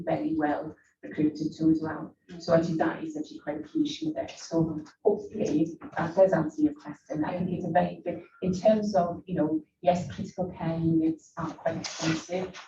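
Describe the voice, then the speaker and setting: A woman's voice: deep voice, lively intonation, brisk, vocal fry, few pauses. A woman executive answering a board's questions in a formal meeting, leaning on "actually" and "very" as fillers. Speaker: executive presenting a report to the board. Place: meeting room. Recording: distant echoey muffled mic with room noise.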